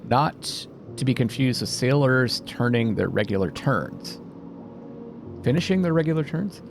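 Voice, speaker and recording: A man hosting a let's play, expressively thinking aloud and reading game text. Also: medium pitch. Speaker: gaming video host. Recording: game music behind voice.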